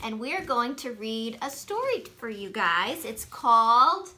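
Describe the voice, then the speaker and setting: Animated, bright-voiced woman reading a storybook. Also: a little high, unhurried. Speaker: read-aloud storyteller. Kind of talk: expressive picture-book read-aloud for children. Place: small domestic room indoors.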